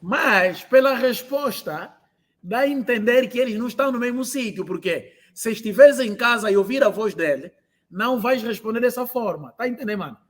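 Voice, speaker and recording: A man teaching an online language class, explaining and translating phrases and repeating them slowly for learners. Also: very high voice, expressive.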